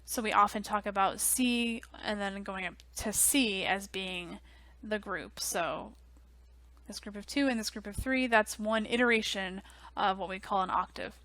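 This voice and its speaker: A young woman teaching music theory in a relaxed, chatty way, full of ums and likes, on a headset mic in a small office. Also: mid-range voice, a little slow, no room sound, poor audio. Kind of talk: casual online lecture.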